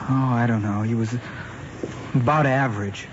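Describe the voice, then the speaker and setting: A man's voice: mid-range voice, steady tone, normal speed, natural voice. An actor playing a defensive, evasive young male suspect who insists on his story, in old radio drama style. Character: defensive male youth suspected of stealing a car. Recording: dull, noisy old radio recording.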